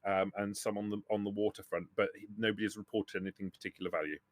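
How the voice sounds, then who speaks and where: A man with a fairly low voice runs a tabletop game as game master. His talk is casual and recorded close and dry, with very little room sound. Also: level tone, fast talker, no room sound.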